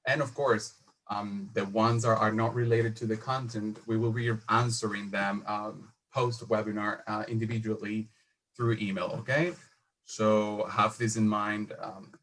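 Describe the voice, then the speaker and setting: A man's voice: on the low side, little pitch movement, normal speed, creaky, a bit bright, low-quality recording. A man moderating a webinar, speaking hesitantly with many fillers. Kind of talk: introducing a webinar.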